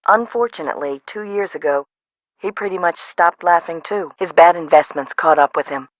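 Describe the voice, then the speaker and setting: A woman's voice: lowish voice, normal speed, no echo, natural voice, low-quality recording. An actress voicing a frazzled, no-nonsense woman who runs an amusement park in a video game, conversational and dry. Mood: matter-of-fact, regretful. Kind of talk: scripted video game voice acting.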